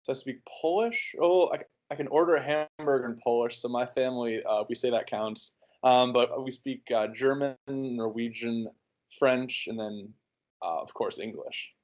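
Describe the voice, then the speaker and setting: A man in his early twenties from the United States, answering interview questions in a relaxed, rambling way with many fillers and restarts. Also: mid-range voice, normal speed. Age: 20s. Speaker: interview guest.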